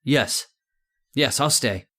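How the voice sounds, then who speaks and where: A man's voice: medium pitch, slow, sharp tone. A man playing a shaken, trusting doctor in old-time radio horror style, hesitant and exclamatory. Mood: shaken, subdued.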